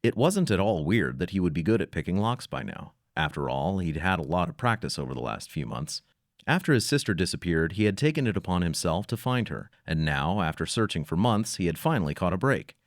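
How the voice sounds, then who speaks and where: A man's voice: sits low, big pitch swings, fairly quick, no echo, studio quality. A man narrating a scripted audio story in a dramatic, slightly wry manner.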